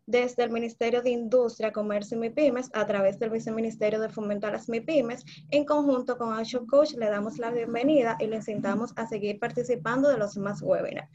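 Woman, webinar host, polite formal intro, slightly high pitch, dull lo-fi video call sound. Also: fairly even intonation, fairly quick, few pauses, warm tone, a little hiss or hum. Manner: polite, announcing.

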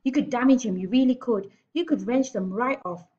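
A woman's voice: on the high side, sing-song, a little fast, a little mellow, highs cut off, voice pushed up. Woman voicing an anxious, pleading schoolteacher. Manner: pleading, timid protest. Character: gentle young female teacher, anxiously pleading. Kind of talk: children's storybook read-aloud.

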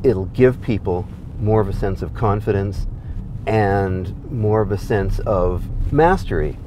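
A man's voice: lowish voice, lively intonation, measured pace, noisy background. A middle-aged man, a guest, explaining his program in an earnest, conversational way while riding in a car. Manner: earnest, explanatory, laughs easily. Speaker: guest, comedy program founder. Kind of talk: conversational interview. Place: inside a moving car.

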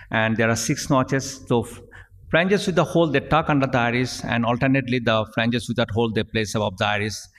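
Halting man lecturing in a reverberant hall. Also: medium pitch, a bit bright. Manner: halting, frequent fillers and restarts, reading at a lectern. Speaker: eye surgeon giving a conference talk. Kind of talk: technical lecture narrating surgical video. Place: large conference hall, at a podium. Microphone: podium gooseneck microphone.

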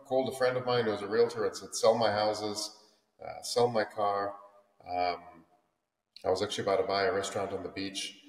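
A man in his forties with a South African accent, telling his story as a guest in a casual interview over a video call, with many restarts and fillers. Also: on the low side. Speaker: guest, luxury home builder. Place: home office, indoors. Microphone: video call webcam. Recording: roomy echo, far from mic.

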